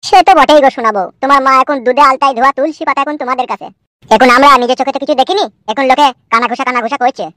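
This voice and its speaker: A woman's voice: high voice, fairly even intonation, very fast, a bit bright, dry recording, clean studio sound. A performer, heard as a woman, playing a suspicious, sarcastic husband who grumbles in comic cartoon dialogue.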